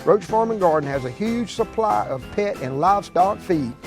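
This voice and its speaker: A man's voice: high-pitched, lively intonation, normal speed, over music. A man reading a scripted local store ad in a folksy way, listing product after product. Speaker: radio-style ad announcer.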